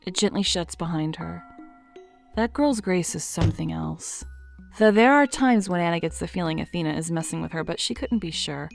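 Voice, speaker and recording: A woman narrates an audio drama in a dry, measured storytelling voice. Her pitch sits on the low side. Faint music runs quietly beneath her reading. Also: lively intonation, creaky. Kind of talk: scripted narration.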